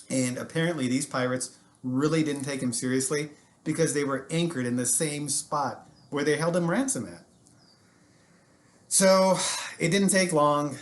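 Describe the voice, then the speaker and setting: An American man with a medium-pitched voice works as a storytelling teacher. He narrates history in an animated, informal style, slipping in jokey asides as he goes.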